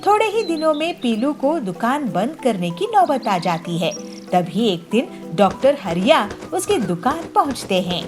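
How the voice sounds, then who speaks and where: A woman's voice: a little high, big pitch swings, a little slow, over music. A woman storyteller reading a scripted children's story as narrator.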